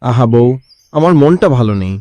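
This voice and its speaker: Man as animated worried farmer husband. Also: medium pitch, moderate pace, no room sound, lightly acted. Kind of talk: Bengali cartoon voice acting.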